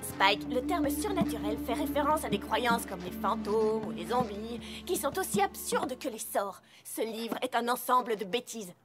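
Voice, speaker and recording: Woman as young female cartoon pony, high voice, bookish skeptic, lecturing tone, music behind. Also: animated, normal speed, hardly any pauses, natural voice. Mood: dismissive. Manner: emphatic, lecturing. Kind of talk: animated cartoon dub acting.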